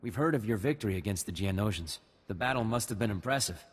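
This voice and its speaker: A man voicing an earnest, respectful young militia recruit in a video game, with a husky voice. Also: lowish voice, lively intonation, fast, big-room sound. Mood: respectful, grateful.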